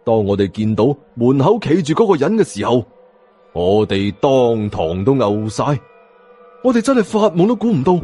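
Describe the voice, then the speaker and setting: A man's voice: on the high side, warm tone, husky. A man with a Hong Kong Cantonese accent, narrating an audiobook in a dramatic first-person storytelling style.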